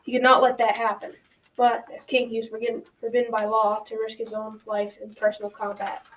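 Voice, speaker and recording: A woman's voice: a little high, monotone, a little fast, lightly acted. A teenage girl narrating a folktale as scripted storytelling in an amateur school radio drama. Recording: noisy, distant from the mic.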